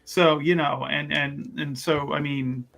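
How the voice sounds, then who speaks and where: A man's voice: a little high, little pitch movement, unhurried, creaky, fairly bright tone. A man playing a tabletop roleplaying game, talking casually in character and repeating words as he playfully argues. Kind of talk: casual in-character improvised banter.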